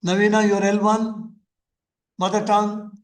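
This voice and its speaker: An older man with an Indian English accent giving a teacher-training lecture, speaking slowly and emphatically, with lots of repetition. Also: high voice, little pitch movement. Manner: slow, emphatic delivery with frequent repetition. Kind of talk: lecturing and questioning participants. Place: lecture hall.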